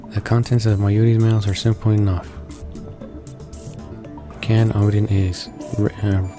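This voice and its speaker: A man reading visual novel text aloud in a halting way, stumbling over words. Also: lowish voice. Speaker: let's play reader. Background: game music.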